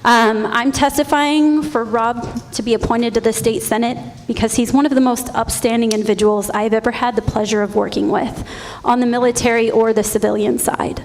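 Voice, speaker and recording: A woman's voice: a little high, fairly even intonation, a little fast. A woman gives prepared testimony to officials at a public hearing. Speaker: veterans' advocate giving testimony. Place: public hearing in a university hall. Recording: long hall reverb, faint noise.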